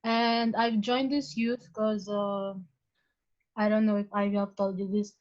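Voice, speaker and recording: A woman's voice: medium pitch, little pitch movement, unhurried, a little mellow. A nineteen-year-old woman introducing herself to a podcast as a student, somewhat hesitantly. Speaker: guest, business student. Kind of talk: casual self-introduction. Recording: dull lo-fi sound.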